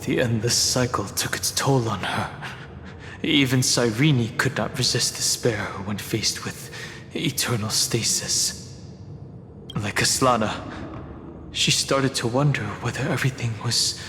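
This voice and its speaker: A man playing a solemn, composed scholar in a video game, explaining things gravely and deliberately. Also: mid-range voice, a bit bright. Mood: somber. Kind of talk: dramatic game story exposition. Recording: long reverb, music behind. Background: music.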